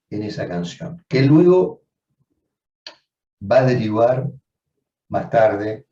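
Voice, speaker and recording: A man, a psychoanalyst, giving a measured, reflective seminar lecture, often rephrasing as he goes. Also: lowish voice, mellow. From Argentina. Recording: dull, roomy sound from far off.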